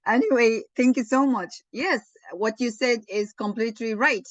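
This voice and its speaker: A woman leading an online teaching session, slow and encouraging, often thanking participants. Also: a little high, dry recording. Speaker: webinar lecturer. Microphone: video call. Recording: dull online call audio.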